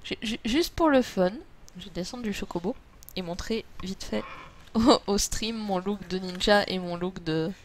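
A woman's voice: medium pitch, a little slow. A French woman chatting casually and playfully as she streams an online game.

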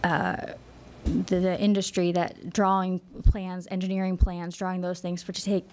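A woman in her thirties speaks into a desk microphone in a council chamber, her voice on the low side and very even in pitch. She answers questions in a measured, slightly apologetic way, pausing on fillers as she goes. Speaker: company representative. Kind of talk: public meeting presentation.

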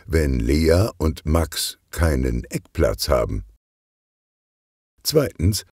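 Deep, husky male storyteller. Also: darkish tone, no room sound, studio quality. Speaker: narrator. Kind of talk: expressive scripted children's audiobook narration.

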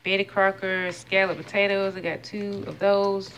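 A woman's voice: medium pitch, level tone, normal speed, fairly bright tone, lo-fi sound, a little hiss or hum. A woman in her thirties chatting casually at home as she shows a grocery haul item by item, recorded on a phone. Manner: chatty, listing prices item by item. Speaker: vlogger. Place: home kitchen, indoors. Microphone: phone camera held close.

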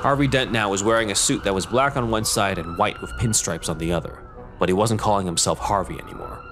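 A man's voice: on the low side, quick pace, noisy background. A man narrating a scripted audio drama.

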